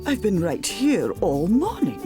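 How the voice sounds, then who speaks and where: A woman voicing a calm, kindly man in a storybook, soothing and puzzled, with music behind. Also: medium pitch, unhurried, voice pushed up.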